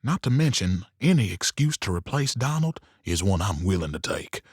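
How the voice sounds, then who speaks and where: Man acting a taunting saloon owner, swinging pitch. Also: big pitch swings, moderate pace, a little mellow, slightly rough, no echo, studio quality. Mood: incredulous, mocking. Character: gruff, world-weary male saloon owner, goading and defiant. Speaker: actor in a western audio drama. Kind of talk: theatrical western audio drama acting.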